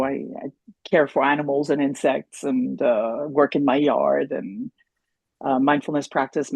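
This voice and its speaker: A woman's voice: a bass voice, vocal fry, warm tone, slightly rough, no room sound, rough recording. A woman in her sixties, a native German speaker raised in France, telling stories animatedly as a podcast guest, with many restarts. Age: 60s. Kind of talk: casual conversation.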